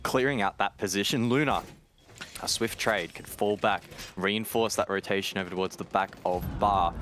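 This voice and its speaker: A man doing brisk play-by-play commentary on an esports match, his voice rising at the big plays. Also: fairly bright tone, slight background noise. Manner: brisk, rises in pitch at key plays. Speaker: esports caster.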